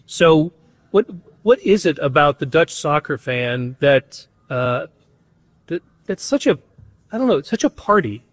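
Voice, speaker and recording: A man interviewing a guest, asking curious questions with halting restarts. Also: on the high side, sing-song, no room sound.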